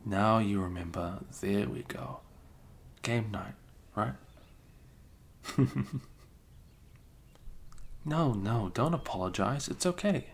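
A man doing an improvised ASMR roleplay as a best friend, soft-spoken and hesitant, slipping into whispers, close to the microphone. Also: lowish voice, slow pace, creaky, pauses often.

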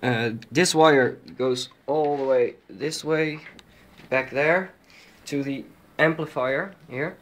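A man's voice: mid-range voice, slow. A man giving an informal, hesitant walkthrough of a hobby electronics project, speaking from behind a handheld camera. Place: indoors at a desk with electronics.

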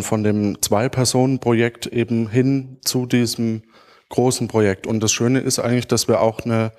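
A man in his thirties with a stuffy head cold speaks informally and haltingly on stage into a handheld microphone, as a podcast creator answering an audience. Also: fairly low pitch. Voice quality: congested from a cold. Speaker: podcast creator giving a conference talk. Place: auditorium stage.

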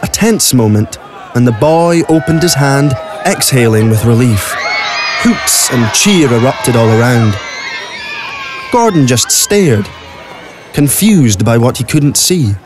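A man's voice: medium pitch, animated, takes it slowly, a crowd in the background. A man narrating a scripted audio drama as a storyteller. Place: recording studio. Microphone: close studio microphone.

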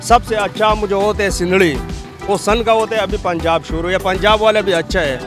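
A Pakistani man in his thirties speaks informally in a high voice, like a vendor at his stall. He is on a handheld microphone, with music clearly playing behind him. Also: fairly even intonation, a little fast. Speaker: fruit seller. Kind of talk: informal on-camera interview reply. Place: open-fronted street fruit stall.

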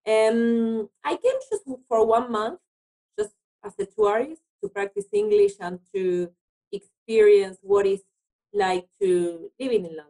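Argentine lady with spanish-speaker accent, highish voice, casual chat as a guest. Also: animated, measured pace, warm tone, rough recording. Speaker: interview guest.

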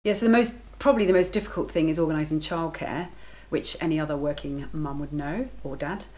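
A woman's voice: low-pitched, brisk, a little mellow. A woman in her thirties talking casually to the camera in her home kitchen, matter-of-fact and chatty. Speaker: vlogger, lifeguard and working mother.

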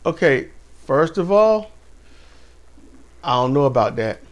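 Animated man chatting about wrestling. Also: a little high, slow pace, lo-fi sound. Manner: animated, laughs often, addresses viewers as y'all. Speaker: podcast co-host. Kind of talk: casual chat about wrestling.

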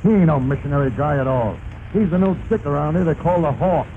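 A man's voice: fairly high pitch, fast, lightly acted. A man playing a wary, slangy tough-guy barkeep from the Bowery in a 1930s radio comedy. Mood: warning. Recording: muffled, noisy 1930s radio recording.

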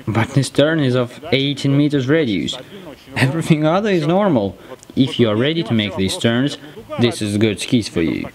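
A man in his thirties with a Russian accent, giving blunt opinions in halting English while talking to an action camera on a ski slope. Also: medium pitch, expressive, low-quality recording. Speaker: ski tester. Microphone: helmet or selfie action camera close-up.

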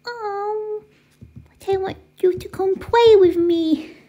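Casting: woman as six-year-old little brother, very high baby talk, bratty and whiny, soft-edged tone. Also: slow. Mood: playful pleading. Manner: babyish talk, whiny and demanding.

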